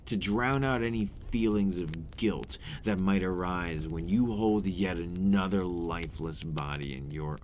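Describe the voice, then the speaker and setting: Seductive, menacing male vampire played by a man, very low register, unhurried, remorseful ASMR monologue with formal, theatrical delivery. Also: slight background noise, exaggerated voice. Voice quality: deep, breathy at times. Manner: slow, deliberate, theatrical, formal wording. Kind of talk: ASMR roleplay, dramatic monologue.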